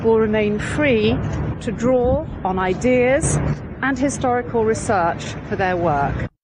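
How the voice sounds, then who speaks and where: A woman's voice: a little high, expressive, takes it slowly. A middle-aged British woman, a company executive, delivering a prepared press statement in a noisy outdoor news clip.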